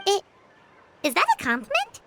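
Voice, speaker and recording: A woman voicing a small, cute, excitable childlike companion in a video game, squeaky and chirpy. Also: normal speed, over music, full character voice. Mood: pouty, cheeky. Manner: squeaky, exclamatory, third-person self-reference.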